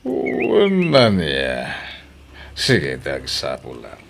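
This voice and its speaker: A man's voice: a bass voice, huge pitch swings, drawn-out words, gravelly, a little hiss or hum, full character voice. A man playing an indulgent, secretive older boss and married lover in an audio drama. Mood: indulgent, coaxing. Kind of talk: Ilocano radio drama acting.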